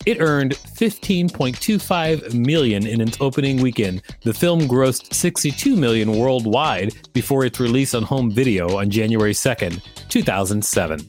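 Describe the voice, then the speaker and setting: A man's voice: medium pitch, expressive, normal speed, few pauses, a little mellow. Man hosting a podcast over music. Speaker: podcast host. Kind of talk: reading an encyclopedia article aloud with casual commentary.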